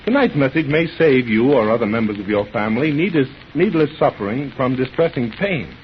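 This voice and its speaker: A man reading a scripted sponsor message as a formal, earnest announcer in 1930s radio style. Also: mid-range voice. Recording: muffled, hissy old radio recording.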